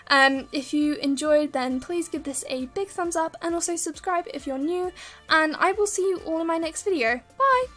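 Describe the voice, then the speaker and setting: A woman's voice: extremely high pitch, moderate pace, a bit bright. A woman YouTuber chatting casually in a voice-over Q&A, rambling with lots of ums, her voice a little worn from talking. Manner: rambling, many ums and restarts. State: slightly worn voice from long talking.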